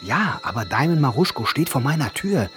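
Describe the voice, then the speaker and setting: Puzzled, animated man acted over loud music. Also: medium pitch, a little fast, lightly acted. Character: puzzled, questioning male radio drama character. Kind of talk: radio drama acting.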